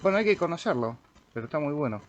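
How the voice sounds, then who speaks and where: A man with an Argentine Spanish accent giving an informal software tutorial, thinking aloud as he goes. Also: fairly high pitch, sing-song, quick pace, lo-fi sound. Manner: informal, thinking aloud while clicking through. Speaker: amateur radio operator demonstrating software. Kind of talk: casual screen-recorded software tutorial.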